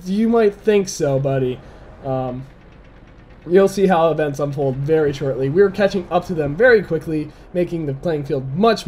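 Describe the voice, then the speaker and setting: A young man, slightly hoarse from a dry throat, casually commentating a game replay with relaxed chuckles and play-by-play analysis. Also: on the high side, a little hiss or hum. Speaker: gameplay commentator.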